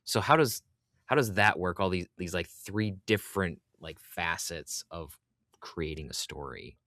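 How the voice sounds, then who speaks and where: A man's voice: low voice, lively intonation, measured pace, bright, forceful tone, no echo, clean studio sound. A man hosting a podcast interview in a casual, conversational way.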